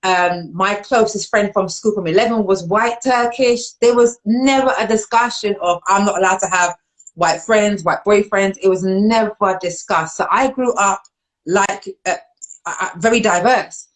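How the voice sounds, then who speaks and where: A woman from London with a London accent, hosting a casual live-stream talk show, animated and laughing often. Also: a little slow, poor audio. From London, England. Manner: animated, laughs often, jumps up in pitch when excited. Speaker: host.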